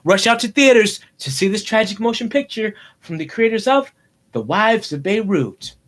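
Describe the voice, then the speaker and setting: Man as movie trailer announcer, theatrical, portentous, raised pitch, lively delivery. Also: normal speed. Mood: melodramatic. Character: dramatic movie trailer announcer for an overblown love-triangle film.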